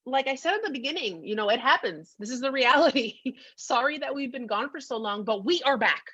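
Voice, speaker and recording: A woman's voice: sits high, expressive, a little fast, bright, forceful tone, breathy edge, dry recording, lo-fi sound. Female podcast co-host, casual and emphatic, cheering her partner on, recorded dry with little room sound. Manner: upbeat, encouraging, emphatic. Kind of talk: casual catch-up podcast chat.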